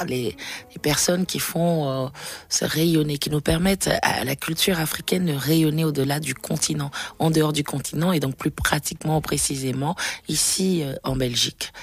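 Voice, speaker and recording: A woman's voice: a bass voice, gravelly, breathy edge. A woman who manages a cultural centre, speaking hesitantly as an interview guest with many fillers and restarts.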